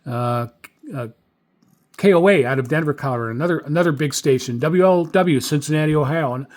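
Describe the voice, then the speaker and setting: A man talking informally as a radio hobbyist host, halting often as he reviews his notes. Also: on the high side. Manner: halting, frequent hesitations. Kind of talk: informal spoken log review.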